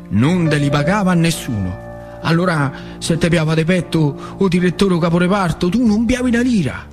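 An old factory worker played by a male stage actor tells his memories in a theatrical monologue over music. His voice sits high for a man, with an earthy central Italian dialect from northern Lazio. He repeats himself and nudges the listener with little questions, like a nostalgic working-class storyteller. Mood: nostalgic, intense.

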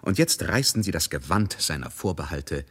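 A measured male narrator in a German radio drama. His voice is very low and a little raspy, and he keeps a detached storyteller's tone. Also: very animated, a bit bright, heavily acted.

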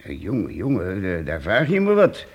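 A man voices a kindly but fussy old-world priest in a radio play, quick to exclaim and protest. His low, husky voice leaps up and down in pitch. It rings with a long hall-like reverb. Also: full character voice. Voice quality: excitable, exclaiming. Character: kindly fussy middle-aged male priest. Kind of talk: radio drama acting.

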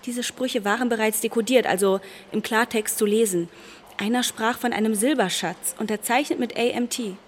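An actress playing a granddaughter who recounts a wartime family secret in a radio drama. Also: female voice, a little high, moderate pace, a little hiss or hum, close to an everyday voice. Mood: intent, explaining.

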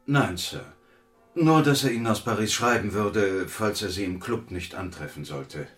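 An actor playing a formal, dutiful valet in a German radio drama, measured, sleepy and deferential. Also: male voice, on the low side, lively intonation, fairly bright tone, soft background music.

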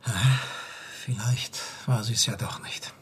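A man playing an earnest, hesitant music expert who is easily dismayed, in a radio crime drama, with a husky voice. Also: on the low side, steady tone, slow pace, a bit bright, whispered. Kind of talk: naturalistic German radio crime drama dialogue.

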